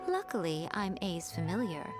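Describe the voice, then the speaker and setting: A woman's voice: on the low side, big pitch swings, moderate pace. A woman voicing a sly, composed fox-spirit priestess in a game, poised and teasing, with music behind her. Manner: poised, teasing, faintly condescending. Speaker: game character. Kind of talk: game voice acting.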